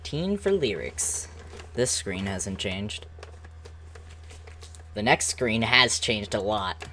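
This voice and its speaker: A man's voice: on the low side, big pitch swings, slow pace. A young man giving casual, informal gameplay commentary in a rambling way, recorded in a small room at home. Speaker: gaming video creator.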